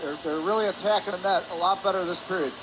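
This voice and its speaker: A man giving measured, reflective color commentary between plays at a live hockey game. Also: high-pitched. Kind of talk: live sports analysis. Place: indoor ice hockey arena. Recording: noisy rink ambience behind the voice.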